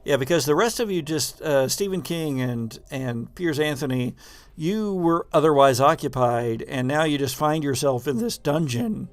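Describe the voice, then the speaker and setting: A man improvising narration as game master of a tabletop game, telling it haltingly and dramatically with drawn-out pauses. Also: on the high side, lively intonation, dry recording. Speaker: game master of a tabletop role-playing show.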